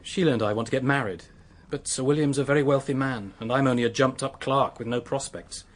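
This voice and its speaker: A man's voice: medium pitch, fast, close to an everyday voice. An actor in a radio drama playing a desperate, remorseful young man, speaking in an earnest, pleading way. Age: young adult. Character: desperate, remorseful young male clerk confessing to a killing.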